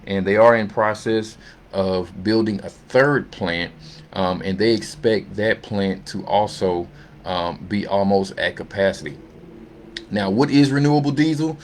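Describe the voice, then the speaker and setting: Male presenter, deep voice, off-the-cuff earnings rundown, conversational and halting, audible background noise. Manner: conversational, halting, seeks agreement with tag questions. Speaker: presenter of an investment earnings summary. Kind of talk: informal unscripted financial commentary over slides.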